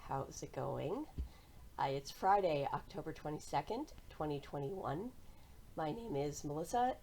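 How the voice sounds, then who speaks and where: A woman's voice: low voice, darkish tone. An American woman chatting casually and cheerfully to viewers as the host of a hobby vlog. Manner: conversational, cheerful. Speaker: cross-stitch vlogger and host.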